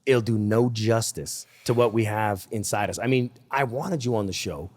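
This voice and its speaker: A man's voice: on the low side, normal speed. A man hosting a casual interview podcast, joking and teasing his guest. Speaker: podcast host.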